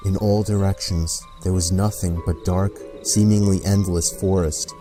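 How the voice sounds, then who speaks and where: A man narrates a scripted scary story in the first person. His voice is deep and dark, and his delivery is measured and deliberate. Faint music plays behind him. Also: steady tone. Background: background music.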